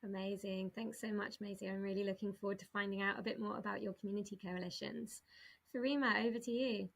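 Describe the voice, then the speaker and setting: A woman's voice: mid-range voice, steady tone, quick pace, creaky, fairly bright tone. A woman moderating a panel, thanking each speaker with upbeat, encouraging words and handing over briefly to the next one.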